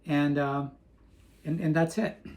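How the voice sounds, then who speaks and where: A man's voice: on the high side, little pitch movement, slow, darkish tone. A man from Portugal chatting casually in a vlog, rambling with frequent restarts. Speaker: vlogger. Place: home in Florida.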